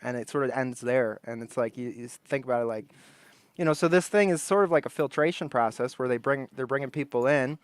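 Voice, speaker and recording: A man's voice: mid-range voice, fairly quick, no room sound. A man on a casual podcast, rambling through a story, laughing often and acting out the people he quotes. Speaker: podcast co-host.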